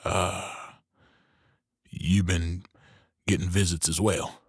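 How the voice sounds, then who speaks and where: An actor playing a gruff but kindly barkeep in an audio drama, wry and patient as he listens. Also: low-pitched, flat delivery, slow pace, no echo, clean studio sound, full character voice. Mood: concerned, grudging. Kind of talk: dramatic dialogue in fiction podcast.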